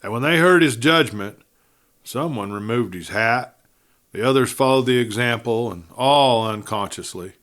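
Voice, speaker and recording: Male audiobook narrator for a western: folksy, conversational storytelling with dramatic emphasis, mid-pitched voice. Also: moderate pace, a bit bright.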